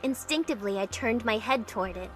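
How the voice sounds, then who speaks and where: A fairly high voice of an actress carries an anxious young woman's first-person drama narration over music. She is earnest and emotional, a survivor recounting her story as it unfolds. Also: animated, fairly quick, natural voice, poor audio. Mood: tense, heartfelt. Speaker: narrator and lead character in an amateur fan dub. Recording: music playing behind the voice.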